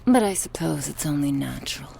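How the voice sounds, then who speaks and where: A woman playing a sadistic, gleefully cruel goddess in an ASMR horror roleplay, taunting theatrically and slipping into breathy whispers. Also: low-pitched, expressive, brisk, dark tone, a little hiss or hum, heavily acted. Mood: contemptuous teasing.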